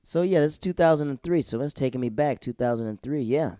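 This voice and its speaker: Rambling young man with a dark voice. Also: mid-range voice, fast, dry recording, clean studio sound. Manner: rambling, digressive, slangy. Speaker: solo podcast host. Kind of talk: casual TV episode review.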